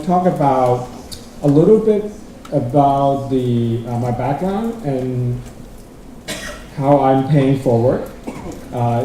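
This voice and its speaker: Middle-aged hong kong guy rambling through a talk, mellow voice, heard from far back in a big echoey hall. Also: medium pitch, a little hiss or hum. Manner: rambling, self-deprecating, restarts often. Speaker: guest speaker. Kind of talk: conference talk. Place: large lecture hall.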